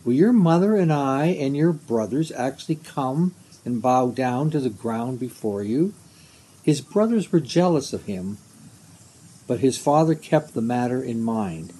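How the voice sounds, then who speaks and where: Slow, husky elderly man reading scripture. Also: mid-range voice, animated, warm tone, poor audio. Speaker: lay scripture reader. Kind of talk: measured scripture reading aloud. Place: outdoors beside a brick house under trees.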